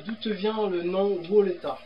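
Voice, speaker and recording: An interviewer asking questions in a studio, halting and filled with hesitations. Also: mid-range voice, steady tone, lo-fi sound, a little hiss or hum.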